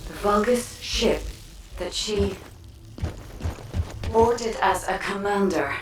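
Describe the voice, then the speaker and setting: An actress playing a solemn, mysterious female guide in a video game, speaking in a measured, formal way. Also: mid-range voice, noisy background, lightly acted. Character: solemn, mysterious female guide leading a hero through memories. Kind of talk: scripted video game voice acting. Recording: echoey, distant, music behind.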